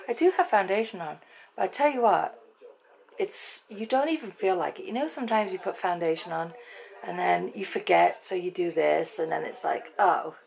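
A woman in her fifties from Britain with an English accent, chatting to a handheld camera in a dim room at night. Her voice is croaky, and she rambles and laughs often. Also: lowish voice, low-quality recording. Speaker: vlogger. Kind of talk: casual chat to camera. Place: bedroom at night, dim lamp light. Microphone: handheld camera at arm's length.

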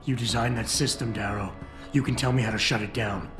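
A man's voice: lowish voice, steady tone, fairly quick, lightly acted. Guy doing a stern video game hero, indignant n accusing, roomy sound w music playing behind. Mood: grim defiance. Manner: terse, intense, accusing. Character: stern, indignant male action hero confronting a villain. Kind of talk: video game cutscene acting.

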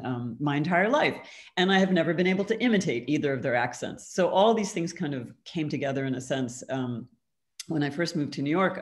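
A woman from California with a West Coast American accent, explaining her artworks in a conversation and often laughing between phrases. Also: sits low. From California, USA. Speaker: artist guest. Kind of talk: conversational art talk.

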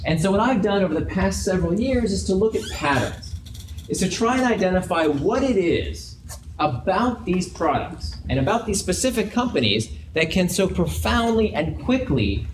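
A man's voice: high-pitched, sing-song, normal speed. Man giving a talk in an echoey room. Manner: conversational, asks the audience questions. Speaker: guest speaker. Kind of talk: conference talk to a live audience. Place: large open office event space with audience. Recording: echoey room with background noise.

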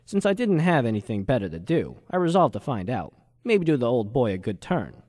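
A man's voice: mid-range voice, big pitch swings, a little fast, a little mellow, no room sound. A man playing a cynical, world-weary ex-journalist who narrates a hardboiled noir radio drama in slangy, wry style. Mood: suspicious, wry.

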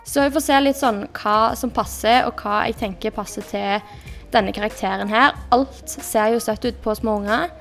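A young woman with a slightly high voice chats through a gameplay session, thinking aloud as she makes her choices. She speaks into a desk mic in her bedroom, with soft music playing underneath. The delivery is relaxed and conversational. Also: gravelly. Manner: chatty, thinking aloud while clicking through options. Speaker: gaming YouTuber. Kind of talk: casual gameplay commentary.

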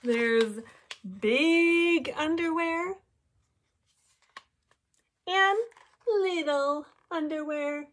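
A woman in her thirties, a teacher reading a picture book aloud to children with animated, exaggerated delivery and drawn-out words. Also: sits high, a little hiss or hum. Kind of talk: expressive children's storybook read-aloud. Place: small indoor room with classroom displays.

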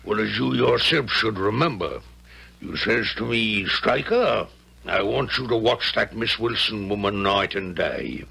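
A rough, sly henchman in a 1970s radio drama. His voice is deep and full of gravel, with a hard, husky edge, and he tells things in a folksy, unschooled way. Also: expressive, bright, forceful tone, heavily acted. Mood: smug. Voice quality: raspy, gravelly. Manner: folksy, ungrammatical, slow storytelling. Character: rough, uneducated henchman spy, sly and menacing.

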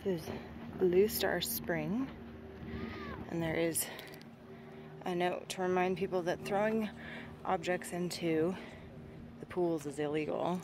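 A woman's voice: on the low side, fairly even intonation, takes it slowly, pauses often. A young woman casually narrating a hike outdoors, chatty and full of fillers, filmed with a camera at arm's length. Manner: chatty, pointing things out, filler-heavy. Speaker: vlogger hiking on her honeymoon. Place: outdoors on a boardwalk trail. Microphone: camera held at arm's length. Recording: outdoor camera audio with wind noise.

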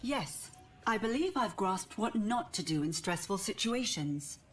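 An actress voicing a calm, analytical female robot in a video game, measured and precise. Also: mid-range voice, soft background music, natural voice.

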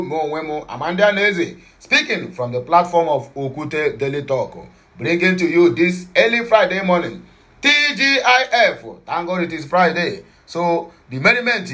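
A man's voice: high voice, fairly bright tone. A man with a Nigerian accent hosting a casual news commentary from a home studio, playful and mixing in Pidgin and Igbo. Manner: playful, switches between English, Pidgin and Igbo.

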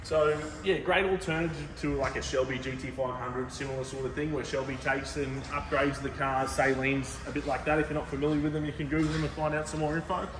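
A man hosting a casual car showroom walkthrough, talking enthusiastically with relaxed fillers. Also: on the high side, brisk, few pauses. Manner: laid-back, enthusiastic car talk with fillers. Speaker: car dealer host. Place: large indoor car showroom. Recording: roomy reverb, mic far off, background noise.

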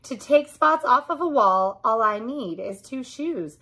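A mischievous male storybook cat, put on by a woman reading aloud, pitched up and a little husky. He is breezy, boastful and carefree, a born trickster with a bright edge. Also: animated, measured pace, exaggerated voice. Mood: breezy, cunning.

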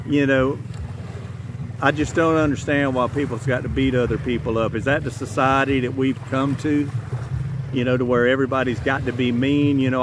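Southern man, 50s, plainspoken vlogger, profane and rambling, phone mic outdoors with clear ambient noise. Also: mid-range voice. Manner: blunt, profane, rambling with many "you know"s. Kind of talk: casual vlog monologue. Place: outdoors on a tropical beach. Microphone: phone camera at arm's length.

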